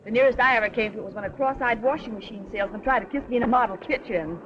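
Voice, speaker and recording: A woman's voice: a little high, rapid-fire, crowd noise, close to an everyday voice. A woman playing a plucky, eager young newspaper reporter who craves thrills, in 1930s film style. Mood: eager, pert. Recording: dull 1930s film soundtrack with background bustle.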